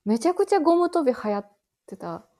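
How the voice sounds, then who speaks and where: A woman in her thirties with a Kansai Japanese accent, co-hosting a casual nostalgic podcast chat and telling stories with lively animation. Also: sits high, fast talker. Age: 30s. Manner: animated storyteller, laughs while talking. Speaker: podcast co-host.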